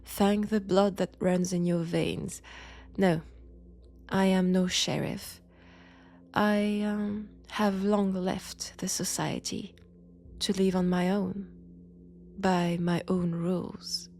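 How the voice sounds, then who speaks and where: A woman voicing a seductive, menacing ancient vampire in an intimate audio roleplay, with a French accent and a breathy delivery that dips into whispers. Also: on the low side, little pitch movement, takes it slowly, darkish tone. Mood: amused, proud.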